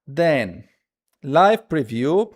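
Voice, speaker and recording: A man who speaks as a hosts a casual live coding stream, thinking aloud haltingly and repeating his phrases as he demonstrates. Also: on the high side, big pitch swings. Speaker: livestream host.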